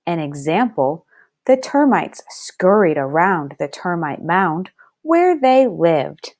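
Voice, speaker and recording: A woman speaks as a first-grade teacher leading a read-aloud lesson. Her pitch moves in lively swings while her pace stays slow. She places each word deliberately and talks straight to the children with encouragement. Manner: deliberate enunciation, addressing children directly.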